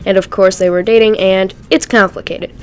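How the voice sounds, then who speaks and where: A woman's voice: medium pitch, steady tone, moderate pace, clear, resonant tone, natural voice. A woman voicing a joking young male speedster hero in an amateur audio drama, chatty and teasing.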